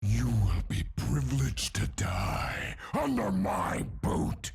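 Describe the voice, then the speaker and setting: An actor playing a hulking undead warrior in a video game, raging and bloodthirsty in a raspy growl, with slow menacing declarations that burst into roars. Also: male voice, medium pitch, wildly swooping pitch, full character voice. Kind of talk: video game character voice lines.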